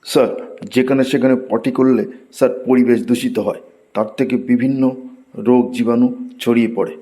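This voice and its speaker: A man's voice: mid-range voice, little pitch movement, brisk, dark tone, natural voice. A man voicing an obedient, studious schoolboy who recites dutifully in a cartoon.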